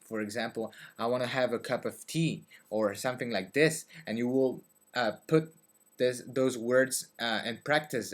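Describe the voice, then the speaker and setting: A young man from Belgium, talking to his webcam in a dim bedroom, hesitant with many fillers. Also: medium pitch. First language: French. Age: young adult. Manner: hesitant, many fillers and restarts, gestures with hands. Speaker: vlogger. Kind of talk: casual talk to camera about learning languages. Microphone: webcam at desk.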